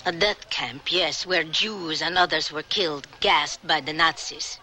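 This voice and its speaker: An actress playing a grieving older woman who survived the camps, speaking in a measured, halting, solemn way in a radio drama. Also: sits low, fairly even intonation, bright, forceful tone, slight background noise. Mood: haunted, grieving.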